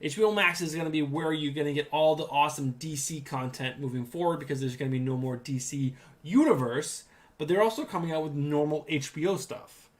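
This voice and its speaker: A man's voice: on the high side, a little fast. Podcast co-host, man, New England accent, eager and rambling, lots of ums and restarts. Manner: enthusiastic, rambling with restarts and fillers. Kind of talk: casual chat.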